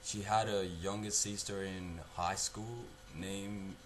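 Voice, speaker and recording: A man performs a haunted young man confessing his memories. The delivery is very slow, halting and subdued, in a fairly low voice with faint noise behind it. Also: steady tone. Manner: halting, subdued, repeats himself. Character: guilt-haunted young man recalling a dead acquaintance. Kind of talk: confessional dramatic monologue.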